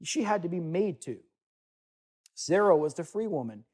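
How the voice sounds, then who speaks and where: A man teaches to a gathered group in a room, his voice fairly high for a man yet soft and mellow in tone. He reads passages aloud and talks to the room in an easygoing, conversational way, with asides and restarts along the way. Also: animated, normal speed. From United States. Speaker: Bible teacher. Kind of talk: teaching sermon with scripture reading. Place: meeting room with listeners.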